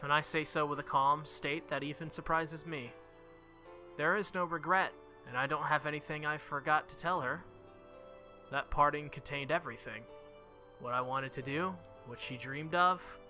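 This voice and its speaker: A man hosting a game playthrough, reading the story aloud dramatically with casual reactions and the odd stumble over words. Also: a little high. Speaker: let's play host reading a visual novel aloud. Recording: loud game music behind the voice. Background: game music.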